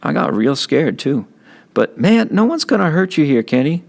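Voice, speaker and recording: A man voicing a tough but caring adolescent big brother in an audiobook, blunt, slangy and gruffly reassuring. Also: on the high side, sing-song, normal speed, voice pushed up, heavily acted. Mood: comforting. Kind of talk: audiobook character acting.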